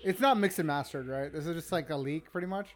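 Man, slightly high voice, podcast co-host, casual, rambling, swears a lot. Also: fast talker, faint music behind. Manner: rambling, profane, many fillers. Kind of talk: casual music review chat.

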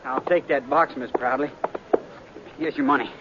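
A man's voice: mid-range voice, normal speed, gravelly, noisy background, lightly acted. An actor playing a shy but stubborn young country man in a 1950s radio western, polite, earnest and hesitant. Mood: quietly determined. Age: young adult. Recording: muffled 1950s radio recording with audience.

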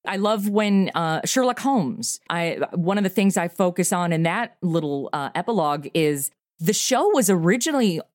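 A woman's voice: on the low side, animated, moderate pace, hardly any pauses, dry recording, studio quality. A middle-aged woman talking casually as a guest in an interview. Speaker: guest, audio comedy writer and performer.

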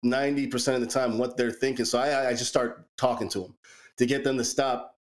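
A man in his forties co-hosting a podcast, telling personal stories in a relaxed, rambling way with frequent repeated words. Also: mid-range voice, fast. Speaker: podcast co-host. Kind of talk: casual chat, personal storytelling.

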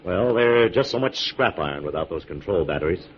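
An actor playing a calm, gallant scientist crime-fighter in 1940s radio drama style. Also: male voice, low-pitched, brisk. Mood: earnest, explanatory. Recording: muffled, noisy 1940s radio recording.

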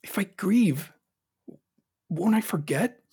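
A husky, brooding young emperor. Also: male voice, sits high, lively intonation, slow pace, mellow, heavily acted. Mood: distraught. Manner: brooding, clipped, formal. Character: angry grieving young emperor, brooding and proud. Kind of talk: audio drama acting with first-person narration.

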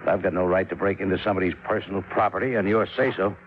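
Man acting gruff, low-voiced radio police sergeant. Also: fairly even intonation, rapid-fire, natural voice. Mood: dismissive, firm. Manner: blunt, terse, grudging. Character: gruff, skeptical male homicide police sergeant, grudging and by-the-book. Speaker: supporting actor in a radio drama. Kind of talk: 1950s radio drama acting. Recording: muffled, noisy 1950s radio recording.

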